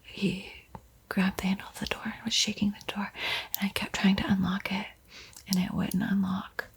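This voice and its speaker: A woman giving an ASMR art tutorial in a breathy whisper, with a soft, unhurried, playfully eerie and teasing manner. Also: mid-range voice, flat delivery. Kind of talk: whispered ASMR painting tutorial with spooky roleplay.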